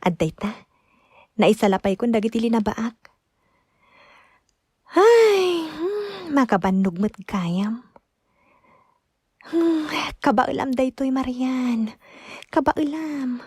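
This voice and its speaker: A woman's voice: high-pitched, big pitch swings, slow pace, pauses often, a little mellow, slightly rough, dry recording, exaggerated voice. A woman with an Ilocano accent playing a meek, long-suffering young daughter-in-law in a radio drama, hesitant and plaintive. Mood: weary, plaintive. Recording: music bed behind close dialogue.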